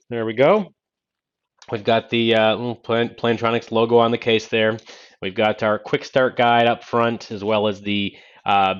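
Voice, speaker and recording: A man in his thirties hosting a casual tech unboxing in a halting, conversational way, speaking into a Bluetooth headset in a small office. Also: lowish voice. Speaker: tech video host demonstrating a headset. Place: small home office, indoors. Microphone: single-ear Bluetooth headset. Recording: dull narrow-band Bluetooth headset sound.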